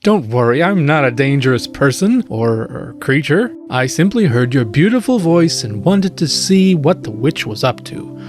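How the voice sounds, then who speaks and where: A man playing an earnest, friendly young prince in an animated fairy tale, polite and gently reassuring. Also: medium pitch, normal speed, hardly any pauses, faint music behind, lightly acted.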